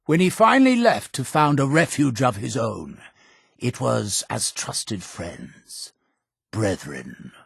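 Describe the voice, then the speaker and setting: Gravelly, zealous cult leader. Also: male voice, on the low side, dry recording, clean studio sound, exaggerated voice. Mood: grave, bitter. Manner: solemn, deliberate, preacher-like oratory with dramatic pauses. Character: male cult leader, zealous, imperious, pious and guarded. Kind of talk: video game character dialogue.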